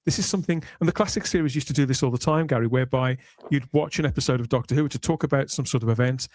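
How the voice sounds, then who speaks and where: A man hosting a podcast panel discussion, talking in a measured, thoughtful way. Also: mid-range voice, darkish tone. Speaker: podcast host. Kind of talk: panel discussion of a sci-fi series.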